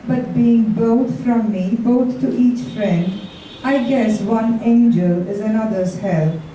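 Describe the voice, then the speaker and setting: A woman reading poetry aloud with short casual introductions, in a deliberate, drawn-out delivery. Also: fairly high pitch, mellow. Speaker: poetry reader. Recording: echoey room, distant mic, faint noise.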